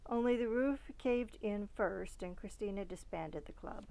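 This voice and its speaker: A woman reading a children's storybook aloud expressively, with dramatic emphasis that softens at phrase ends. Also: medium pitch, measured pace, creaky, rough recording. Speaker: storybook reader.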